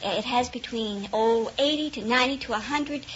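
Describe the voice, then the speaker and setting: A woman's voice: on the high side, moderate pace, fairly bright tone. An American woman from Kansas chatting good-humouredly as a radio quiz contestant, explaining things a little hesitantly. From Kansas, USA. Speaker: quiz show contestant, chinchilla rancher. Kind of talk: unscripted-sounding interview chat on radio quiz. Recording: dull noisy old radio recording, studio audience.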